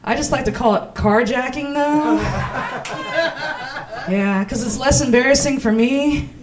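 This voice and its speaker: A woman's voice: sits high, a little slow, heavy background noise. A woman in her thirties doing stand-up as host in a small comedy club, playful and self-mocking on a handheld mic.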